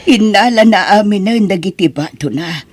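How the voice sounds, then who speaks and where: A woman's voice: medium pitch, animated, moderate pace, husky. A woman acting a worried, pleading older mother in a fretful radio-style drama manner. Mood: distressed.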